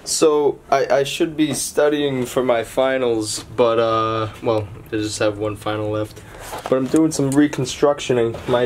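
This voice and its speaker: A man's voice: medium pitch, darkish tone, slight background noise. A young adult man with a slightly lost voice, chatting casually and jokingly to camera in a small room as he vlogs. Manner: joking, rambling. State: slightly lost voice after illness. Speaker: vlogger, college student. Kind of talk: casual vlog chat to camera. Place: small dorm room, indoors. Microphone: handheld camera at arm's length.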